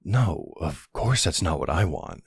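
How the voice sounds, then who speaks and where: Man acting a deep, gravelly, smitten mafia boss. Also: very animated, no room sound, studio quality, exaggerated voice. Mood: earnest, hesitant. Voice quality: low, gravelly, hushed. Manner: tender, hesitant, unhurried, earnest. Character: soft-spoken, courteous, smitten male mafia boss. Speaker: audio roleplay voice actor. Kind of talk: intimate ASMR boyfriend roleplay.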